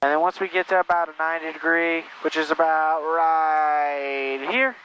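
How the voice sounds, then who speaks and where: Higher-voiced male flight instructor on muffled intercom. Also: moderate pace. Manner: encouraging, patient, repeats instructions. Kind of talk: step-by-step in-flight coaching. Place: small airplane cockpit in flight. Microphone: aviation headset. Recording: muffled intercom audio, steady engine noise.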